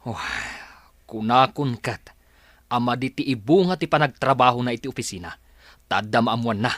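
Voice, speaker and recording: A man's voice: mid-range voice, normal speed, a bit bright, no echo, clean studio sound, lightly acted. A man acting a defensive, irritated adult son and husband in a radio drama, brusque in manner.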